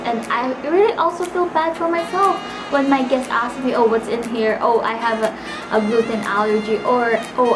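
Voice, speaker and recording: A woman's voice: on the high side, rough recording. A young woman with a Filipino accent, telling her own work story in an earnest, chatty way, full of fillers. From Philippines. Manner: earnest, chatty, many fillers like "you know" and "like". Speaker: vlogger. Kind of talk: casual personal storytelling. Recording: music playing underneath.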